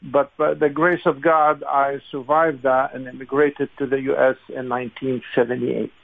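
Over a thin, phone-like line comes an older Lebanese-accented man in his sixties. His voice is gravelly and creaky at a middling pitch, and he answers conversationally, halting often as he searches for the next phrase. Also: flat delivery. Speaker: interview guest, congressional candidate. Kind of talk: conversational interview, recollection. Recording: narrow, tinny phone-like line.

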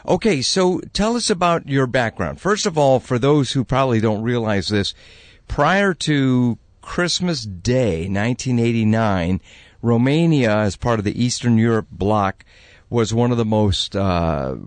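A man hosting a radio program, introducing his guest briskly before handing over to her. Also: medium pitch, no room sound. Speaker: radio host.

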